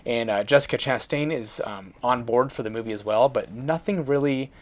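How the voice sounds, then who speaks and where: A man co-hosting a casual entertainment news discussion, speaking informally and a little haltingly with repeated words. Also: medium pitch. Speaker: co-host of a video game and movie discussion show.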